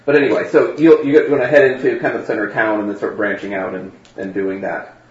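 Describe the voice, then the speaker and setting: A man running a tabletop game as game master, talking in a halting way with many restarts. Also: fairly low pitch, steady tone, crowd noise. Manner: halting, full of fillers and restarts. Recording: dull, echoey room recording.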